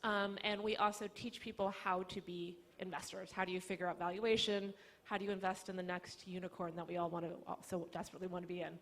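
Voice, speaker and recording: A woman's voice: on the low side, steady tone, fast, fairly bright tone. A woman speaking on a business panel, articulate and fluent with light fillers. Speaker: panelist, business school lecturer and angel network founder. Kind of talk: panel discussion.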